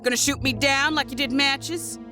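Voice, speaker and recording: A woman's voice: high-pitched, steady tone, moderate pace, music in the background, lightly acted. A woman playing a vengeful, grieving widow gunslinger in a western audio drama. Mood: bitter, menacing.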